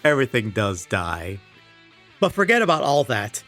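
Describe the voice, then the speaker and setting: A man's voice: medium pitch, big pitch swings, normal speed, fairly bright tone. A man delivering scripted comedic commentary as a host, in a sarcastic, exasperated, casual manner with some swearing. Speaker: video essayist and host. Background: background music.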